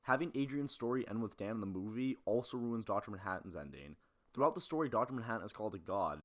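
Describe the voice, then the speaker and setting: Low-voiced man giving blunt film commentary. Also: lively intonation, fast. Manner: blunt, profane, opinionated. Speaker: video essayist. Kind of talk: film analysis commentary with casual ranting.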